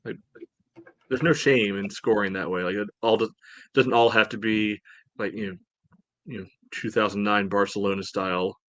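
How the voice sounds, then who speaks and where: A man co-hosting a sports podcast, giving casual analysis in a halting way full of verbal padding. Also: lowish voice, fairly even intonation, fairly bright tone, dry recording. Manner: halting, peppered with "you know". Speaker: podcast co-host.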